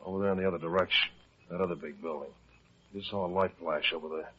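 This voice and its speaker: A man's voice: sits low, steady tone, fast, noisy background, lightly acted. A man acting a puzzled, plain-spoken police sidekick in an old-time radio drama, folksy, full of questions and easily astonished. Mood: wary. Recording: dull, muffled old radio drama recording.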